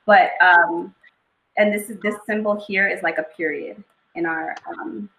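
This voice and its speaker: A young woman poet and author talking in a casual interview, thoughtful and unhurried, with many pauses. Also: mid-range voice, steady tone, bright, forceful tone, echoey room, rough recording. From Eritrea and northern Ethiopia (family).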